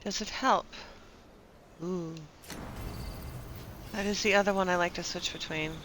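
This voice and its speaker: A woman's voice: fairly low pitch, steady tone, a little slow, a bit bright. A woman giving casual live gameplay commentary, murmuring as if talking to herself. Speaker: game streamer. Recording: clear background noise.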